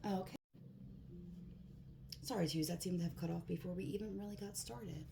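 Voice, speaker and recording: A woman with a hoarse voice giving a casual tarot card reading in a relaxed, chatty way, with plenty of fillers. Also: fairly low pitch, normal speed. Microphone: phone. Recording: echoey room, faint background hiss.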